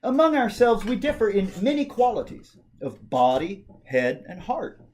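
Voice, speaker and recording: A man giving a dramatic reading of a political speech, declaiming it with emphatic, measured phrasing. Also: high-pitched, very animated, a little mellow. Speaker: amateur reader of a historical speech.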